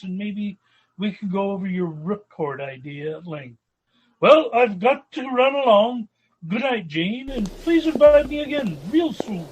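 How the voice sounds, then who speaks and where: A man's voice: high-pitched, exaggerated voice, low-quality recording. A man playing a polite, mild-mannered rich young banker, courteous and hesitant, in an old-time radio comedy.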